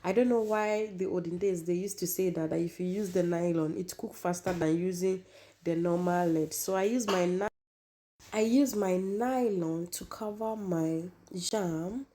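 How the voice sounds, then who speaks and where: A woman hosting a cooking tutorial, hoarse from losing her voice, chatty and repeating herself as she talks to viewers. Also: fairly low pitch, a little slow, warm tone, a little hiss or hum. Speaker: cooking channel host.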